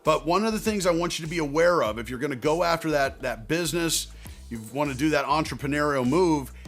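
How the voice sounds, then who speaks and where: A man speaking straight to viewers as a financial advisor, giving advice in a conversational, emphatic way with deliberate phrasing. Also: on the high side, a bit bright. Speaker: financial advisor and video host. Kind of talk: direct-to-viewer advice talk.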